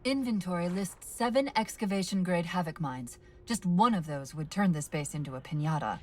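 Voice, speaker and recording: An actress playing a weary, tender, quick-witted female AI companion in a video game, with intimate, emotive delivery. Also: fairly low pitch, expressive. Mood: urgent, businesslike. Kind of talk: dramatic video game voice acting. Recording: long reverb.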